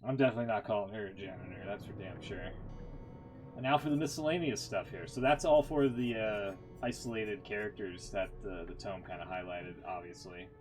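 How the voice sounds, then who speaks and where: Low-voiced man streaming casually over music. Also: brisk. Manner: informal, rambling. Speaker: live streamer. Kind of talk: casual live stream commentary. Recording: music behind the voice.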